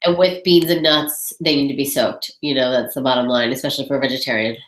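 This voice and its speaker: A woman with a low, congested voice talks through a webinar Q&A in an echoey room. She chats loosely and thinks aloud between viewer questions. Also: fairly even intonation, quick pace. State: congested with a head cold. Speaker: webinar host. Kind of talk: casual Q&A on a webinar.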